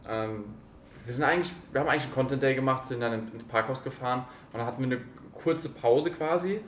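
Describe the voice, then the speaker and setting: A man's voice: mid-range voice, fast talker. A man of 21 in a casual group Q&A chat, mixing youth slang with English words. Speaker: member of a social media video group. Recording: echoey room, faint background noise.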